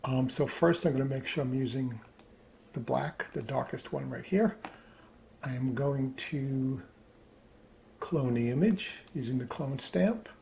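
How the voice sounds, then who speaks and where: A man's voice: mid-range voice, measured pace, vocal fry. A man giving a casual tutorial narration, thinking aloud as he works at his computer. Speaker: hobbyist presenter. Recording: steady background noise, keyboard clicks.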